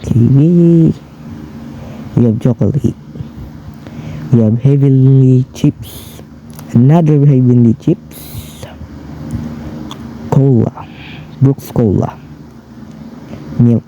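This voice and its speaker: A man with a hoarse, raw voice giving casual, rambling commentary over gameplay for his channel. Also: medium pitch, slow, pauses often, dark tone, a little hiss or hum, low-quality recording. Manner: chatty, rambling, addresses viewers as guys. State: hoarse, low voice from a raw throat. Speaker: gaming YouTuber.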